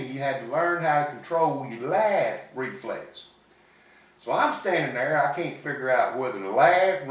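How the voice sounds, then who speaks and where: A man in his fifties with a Southern US accent doing stand-up storytelling in a folksy, rambling, salty-tongued way. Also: on the high side. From American South. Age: 50s. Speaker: comedian. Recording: echoey, distant, muffled room recording.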